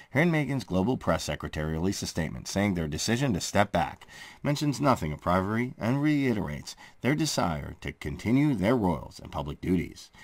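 Deep-voiced male news narrator, very lively pitch. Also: no echo. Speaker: host of a royal news channel. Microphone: close voice-over microphone.

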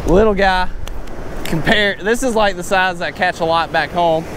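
A man giving excited, casual commentary while surf fishing on an ocean beach, breaking into whoops and exclamations. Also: sits high, moderate pace. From Alabama. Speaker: fishing vlogger. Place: open ocean beach outdoors. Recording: outdoor beach recording with clear surf noise. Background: surf and waves.

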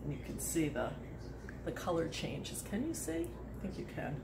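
A woman in her sixties talks through a home cooking demonstration in a loose, rambling way, breaking into little laughs. Her voice sits on the low side. The phone recording carries the echo of a hard-walled kitchen and a steady layer of background noise. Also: normal speed, vocal fry. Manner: chatty, rambling, laughs often. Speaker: home cooking video host.

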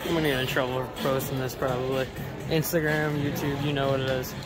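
A man's voice: medium pitch, flat delivery, fast talker. A man giving casual walk-around vlog commentary, slangy and easily amazed, in short exclamations. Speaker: vlogger. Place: large indoor public expo hall. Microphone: handheld camera or phone. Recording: busy expo hall, music and crowd. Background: loud crowd chatter and music.

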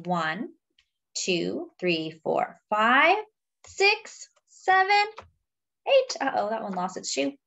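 A young adult woman reading a picture book aloud to small children, addressing them in a deliberate sing-song way. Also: a little high, big pitch swings, gravelly, fairly bright tone, lo-fi sound, faint music behind. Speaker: children's story reader.

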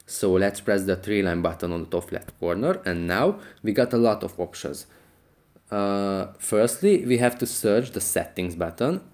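A man's voice: lowish voice, lively intonation, moderate pace, darkish tone. A man hosting an app tutorial, explaining things step by step. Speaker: tutorial host.

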